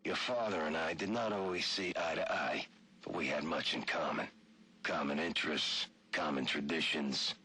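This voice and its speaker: An actor playing a menacing, sly crime boss with a short temper and a raspy voice in a video game. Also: male voice, extremely low pitch, normal speed, pushed and bright, full character voice. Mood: sly menace. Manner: sly, insinuating, threatening. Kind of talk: dramatic video game phone-call acting.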